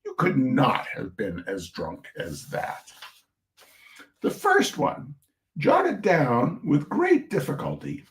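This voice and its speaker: A man reads humorous prose in an informal, chatty way. His pitch is on the high side and moves around a great deal, while the pace stays leisurely. The delivery is droll and conversational. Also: huge pitch swings, takes it slowly, a little hiss or hum. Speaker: reader of short humorous pieces. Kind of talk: informal live reading of comic essays with chatty asides.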